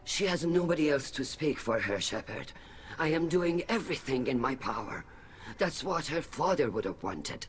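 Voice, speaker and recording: An actor playing an older alien admiral in a video game, grave, guarded and measured. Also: male voice, medium pitch, sing-song, close to an everyday voice. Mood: grave, earnest. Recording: clear background noise.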